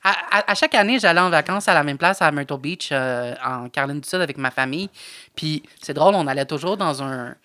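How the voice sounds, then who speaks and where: A man's voice: fairly high pitch, fairly quick, pushed and bright, clean tone. A man with a Québécois French accent, co-hosting a podcast in casual chat and telling stories with playful put-on voices. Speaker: podcast co-host.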